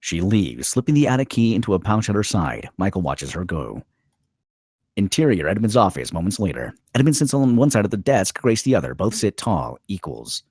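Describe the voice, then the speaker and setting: Deep, husky male narrator. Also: sing-song, moderate pace, darkish tone, no echo, studio quality. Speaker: narrator reading stage directions. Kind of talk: scripted dramatic narration.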